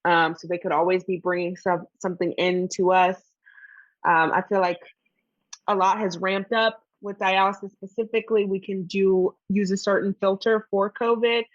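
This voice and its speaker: A woman who works in pediatric dialysis, talking thoughtfully with frequent "um" pauses. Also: medium pitch, flat delivery, dry recording, muffled recording. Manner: thoughtful, measured, with frequent "um" hesitations. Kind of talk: casual conversation on a podcast.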